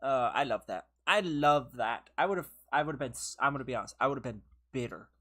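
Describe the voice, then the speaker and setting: A woman This is a low, tired voice that is starting to wear thin. She streams a game from her own room and chats with viewers, laughing often. She teases them playfully, and her pitch jumps around a lot. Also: animated, a little fast, a bit bright. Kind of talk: casual live gameplay commentary with chat.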